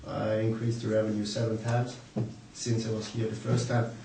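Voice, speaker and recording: A man's voice: lowish voice, flat delivery, normal speed, gravelly, dark tone, distant mic. A man in his twenties from Austria introducing himself at a seminar. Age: 20s. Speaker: seminar attendee. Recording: echoey room with noise.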